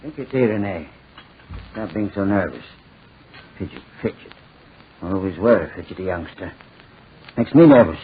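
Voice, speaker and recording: A man's voice: low-pitched, lively intonation, normal speed, long pauses. An actor playing a gnarled, testy old man who lives alone, in a 1940s radio drama. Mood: testy, scolding. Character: gnarled, lonely old man, testy and fidget-scolding host. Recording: noisy, tinny 1940s radio recording.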